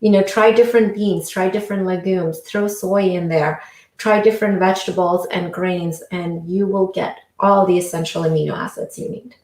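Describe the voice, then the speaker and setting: A woman's voice: lowish voice, steady tone, moderate pace, a little mellow. A woman from India, a physician guest on a talk show, explaining things in an upbeat way. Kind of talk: interview answers. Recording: echoey room sound.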